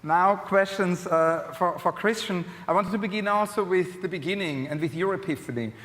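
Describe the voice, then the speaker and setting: A man's voice: high-pitched, normal speed, a bit bright. A man interviewing guests onstage, asking questions in a polite, hesitant way. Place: conference hall stage. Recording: long hall reverb.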